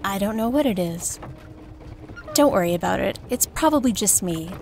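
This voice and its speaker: A woman playing an uneasy, pensive swamp villager who makes dyes, speaking in a measured way in a fantasy audio drama. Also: mid-range voice, animated, a little mellow, a little hiss or hum, close to an everyday voice.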